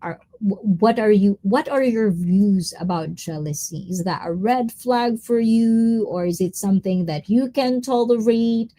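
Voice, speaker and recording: A woman's voice: mid-range voice, measured pace, few pauses, mellow. A woman hosting a live stream, chatting casually, reading viewer comments and mixing in Tagalog words. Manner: chatty, mixes in Tagalog words, drifts between topics.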